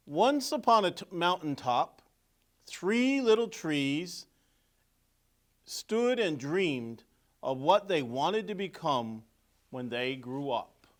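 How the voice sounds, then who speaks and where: A man reading a children's story aloud as a clergyman, with deliberate, emphatic phrasing and pauses. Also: fairly high pitch. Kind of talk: expressive storybook read-aloud with asides on pictures.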